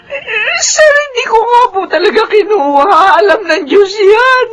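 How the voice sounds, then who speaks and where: A woman's voice: very high voice, lively intonation, measured pace, a bit bright, heavily acted. An actress in a radio drama playing a middle-aged, long-serving housemaid and mother, emotional, pleading and deferential. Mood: tearful pleading.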